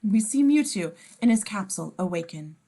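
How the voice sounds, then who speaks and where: A woman's voice: medium pitch, expressive, normal speed, warm tone. A woman narrating as scene description reader, reading screenplay stage directions aloud at a table read.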